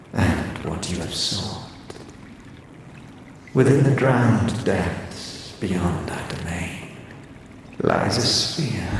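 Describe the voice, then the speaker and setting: A man voicing an ancient undead presence, solemn and menacing, making halting, formal pronouncements in a fantasy audio drama. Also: deep voice, vocal fry, full character voice. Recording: cavernous echo over noisy ambience.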